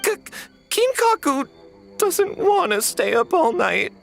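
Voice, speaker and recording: A woman's voice: high-pitched, vocal fry, full character voice. A woman voicing a small, timid, whimpering tanuki yokai in a video game.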